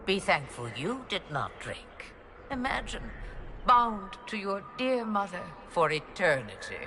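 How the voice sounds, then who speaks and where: A woman's voice: a bass voice, sing-song, takes it slowly, background noise, full character voice. An actress playing an ancient, imperious witch-mother in a video game, speaking in cryptic, theatrical, archaic phrases with a menacing edge. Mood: wry, knowing. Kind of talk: dramatic video game voice acting. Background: background music.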